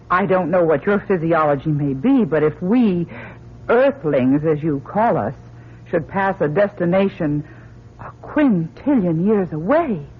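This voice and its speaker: An actor playing an earnest, reasoning astronaut doctor in a vintage radio drama, with a husky voice and deliberate, formal delivery. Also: male voice, high voice, full character voice. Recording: thin, phone-like old radio recording.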